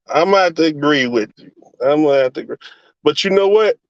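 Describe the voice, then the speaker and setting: A male guest in casual chat, relaxed and rambling with lots of fillers. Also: a little high, fast talker, no echo, highs cut off. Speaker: guest co-host.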